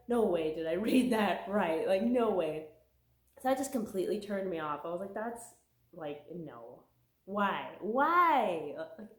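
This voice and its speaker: A woman's voice: medium pitch, darkish tone, roomy sound. A young woman with a worn voice from a cold, chatting casually about her month's reading, with many fillers. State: getting over a cold, voice giving out. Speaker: book vlogger. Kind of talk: casual chat.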